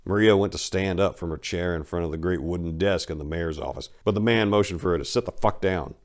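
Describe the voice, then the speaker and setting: A man's voice: deep voice, a little mellow. A man narrating a crime story as a dramatic reading.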